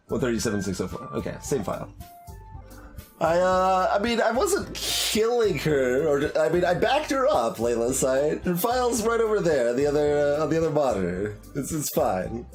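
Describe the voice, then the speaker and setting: A man's voice: on the high side, expressive, moderate pace. A man giving casual, hesitant, rambling live commentary as he plays a game, thinking aloud. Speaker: streamer playing a visual novel. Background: game music.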